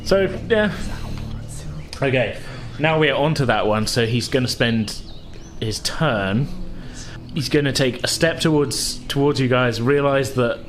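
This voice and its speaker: A man's voice: medium pitch, expressive, a little slow. A man casually narrating a tabletop game as game master, informal and sweary. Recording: music playing behind the voice. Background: loud music.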